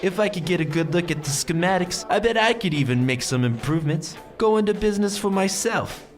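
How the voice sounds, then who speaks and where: A man's voice: high-pitched, lively intonation, a little fast, faint music behind. An actor playing an envious, cocky man with a sardonic, grumbling way of talking in an audio drama. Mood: scheming, cocky.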